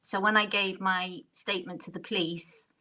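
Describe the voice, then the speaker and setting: Bright-voiced woman guest. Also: mid-range voice, fairly even intonation, normal speed, low-quality recording. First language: Welsh.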